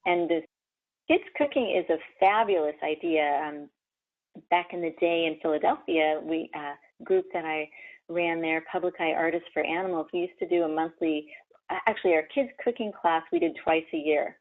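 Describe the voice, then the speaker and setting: A woman's voice: low voice, normal speed. Host woman on a tinny phone line. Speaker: host, leads a vegan spirituality group. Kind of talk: interview hosting.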